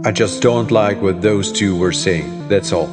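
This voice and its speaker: Man acting aloof wealthy man, lowish voice, blunt, audio drama, music behind. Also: a little slow, in a large hall. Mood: cold, dismissive. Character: rich, cold, aloof man, curtly protective.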